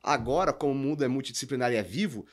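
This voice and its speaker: A man's voice: medium pitch, rapid-fire. A man with a Brazilian accent explaining business as a podcast guest, emphatic and didactic, often posing rhetorical questions. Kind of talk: conversational business explanation with examples.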